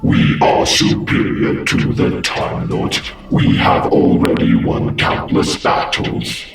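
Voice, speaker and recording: A man playing a cold, arrogant robotic cyber commander in a sci-fi audio drama, menacing and formal through a robotic filter. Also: slow. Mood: cold menace. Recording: echoey distant filtered sound under music.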